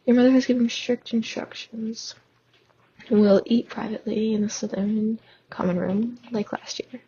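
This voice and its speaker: A woman with a sore, hoarse throat reading the lines of a stern, bossy fifteen-year-old girl in a fan audiobook. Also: fairly high pitch, steady tone, normal speed, dark tone, muffled recording. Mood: stern, snappish.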